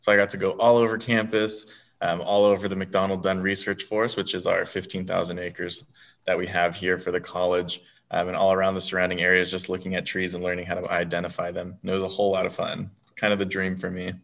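Young man with a very deep, flat voice. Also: quick pace, vocal fry. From Southern California. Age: young adult. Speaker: student tour guide. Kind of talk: casual interview answers.

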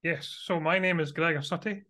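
A man from Scotland with a Scottish accent, chatting casually as an interview guest, relaxed and quick to chuckle. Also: on the high side.

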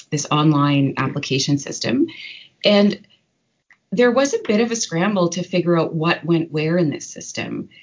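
A woman's voice: low-pitched, muffled recording. A woman guest on a podcast, a law academic explaining her work thoughtfully with fillers. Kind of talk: podcast interview.

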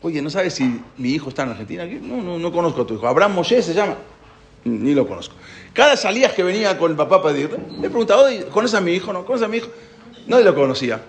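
A man's voice: a little high, fast, a little hiss or hum. A man giving a spoken Torah class, asking his listeners questions and telling a parable. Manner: animated storyteller, asks the listeners questions.

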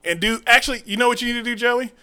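A high, bright male voice full of energy. He hosts a comedy podcast and fires off jokes, laughing and getting loud as he riffs. His talk is quick, loose and playful. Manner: loud, laughing, fast joking delivery. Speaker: podcast host. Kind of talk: casual comedy podcast banter.

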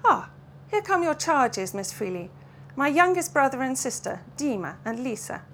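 A woman reading the lines of a brisk, frowning young Russian woman welcoming a governess. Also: sits high, big pitch swings, a bit bright, slight background noise, full character voice.

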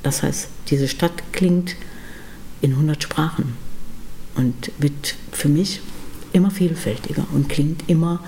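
A middle-aged woman musician being interviewed, talking thoughtfully with many pauses and fillers. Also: low voice, warm tone, a little hiss or hum, poor audio. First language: German. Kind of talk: casual interview conversation.

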